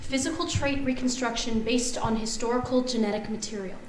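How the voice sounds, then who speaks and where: A woman's voice: on the high side, brisk, far from the mic, a little hiss or hum, lightly acted. An actress playing an earnest, distressed woman geneticist in a sci-fi stage musical, with a theatrical, emotive delivery. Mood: shocked, distressed. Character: Eve, earnest woman geneticist awakened on Mars, heartbroken, distressed. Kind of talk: staged musical theatre reading, sung and spoken. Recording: echoey theatre hall, loud piano music.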